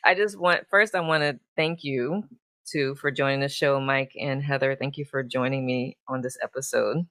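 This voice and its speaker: A woman hosting a casual interview show, effusive in her manner and quick to laugh. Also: very deep voice, steady tone, a bit bright, dry recording, studio quality. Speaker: show host. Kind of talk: warm casual interview chat.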